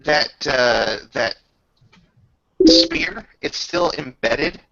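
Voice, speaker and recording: Slow, very gravelly man running a game. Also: mid-range voice, sing-song, bright, forceful tone, dry recording. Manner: halting, with drawn-out pauses while narrating. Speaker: game master. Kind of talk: improvised tabletop game narration. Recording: dull lo-fi voice recording.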